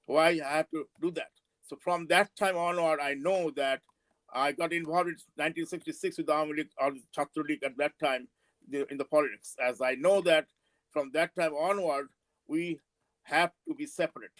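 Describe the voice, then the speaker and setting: A man in his seventies with a Bangladeshi accent and Bengali as his first language, giving a political speech with personal recollections in a halting, emphatic way. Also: fairly high pitch, fairly even intonation, fairly bright tone, no echo, poor audio. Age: 70s. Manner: halting, emphatic, with drawn-out words. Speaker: panel speaker at a forum.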